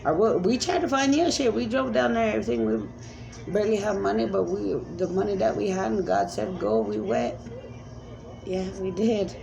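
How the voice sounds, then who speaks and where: A woman's voice: mid-range voice, lively intonation, normal speed, vocal fry, crowd noise, rough recording. A woman speaking as a self-described spirit medium in a rambling, emphatic monologue, pleading and repeating commands.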